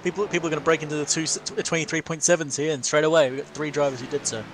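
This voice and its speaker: A man's voice: a little high, steady tone, racing, slight background noise. A man giving chatty, informal co-commentary on sim racing.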